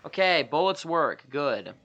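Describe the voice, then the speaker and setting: A man's voice: on the high side, very animated, measured pace, muffled recording, noisy background. A man giving casual, off-the-cuff commentary while playing a video game. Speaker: gamer commentating on a playthrough. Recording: game music behind commentary.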